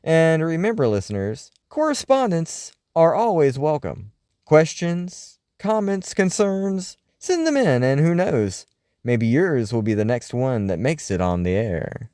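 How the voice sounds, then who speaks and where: A man hosts in a scripted radio-show style, theatrical and wry. His pitch sits a little high and swings widely, yet the tone stays mellow. The sound is close and dry. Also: big pitch swings, a little slow, smooth, dry recording. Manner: theatrical, wry, musing delivery. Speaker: host. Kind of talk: scripted radio-show style narration, reading listener mail.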